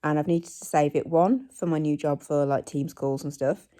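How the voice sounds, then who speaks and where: A woman in her forties, hoarse from a cold, chatting casually in a home vlog recorded on her phone, rambling with plenty of ums and likes. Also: low voice, fairly even intonation, normal speed, warm tone. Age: 40s. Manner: rambling, self-deprecating, many ums and likes. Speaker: vlogger. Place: living room at home. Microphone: phone camera.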